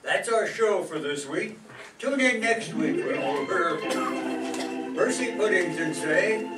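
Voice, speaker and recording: A man's voice: high-pitched, lively intonation, takes it slowly, loud music behind the voice. A man narrating as the announcer of an old-time style radio soap opera. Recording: echoey stage, far mic, loud noise.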